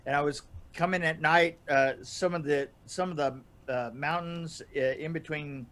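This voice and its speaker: Older guy with a pretty high voice just telling stories, kinda rambly and chuckly. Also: steady tone, normal speed, fairly bright tone. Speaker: podcast storyteller guest. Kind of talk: casual anecdotal storytelling. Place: indoor room on a video call.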